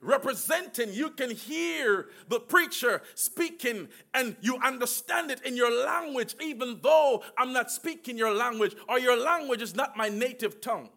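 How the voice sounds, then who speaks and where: High, bright-voiced male preacher. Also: vocal fry. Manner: emphatic preaching with call-and-response, sudden slow drawn-out phrases and shouts. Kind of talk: sermon.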